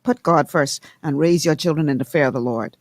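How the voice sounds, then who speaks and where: Animated Bahamian radio host, dry studio sound. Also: fairly quick, dry recording. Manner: animated, laughing, swings into high excited tones. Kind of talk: casual radio talk drifting into preaching.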